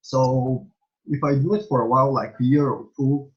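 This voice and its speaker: A young man from Bulgaria, a native Bulgarian speaker with a Bulgarian accent, answering interview questions in a halting way, full of fillers and restarts. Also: medium pitch, steady tone. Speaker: interviewee, small vlogger. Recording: dull lo-fi recording.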